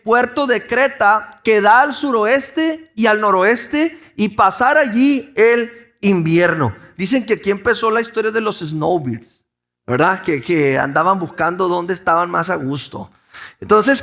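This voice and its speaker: A Mexican pastor with a coastal Sonoran accent preaches a sermon. His voice sits very high for a man. He talks to the congregation conversationally, tossing them questions and restarting phrases as he goes. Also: a bit bright. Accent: Mexican Spanish, Sonora coast.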